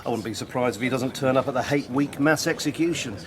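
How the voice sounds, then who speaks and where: A man's voice: medium pitch, fast, background noise, lightly acted. An actor playing a chummy, boastful, zealous party-loyal English father in a radio drama, with an eager, bluff, stammering delivery. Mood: proud, gleeful. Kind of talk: naturalistic radio drama acting. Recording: crowded, busy background chatter.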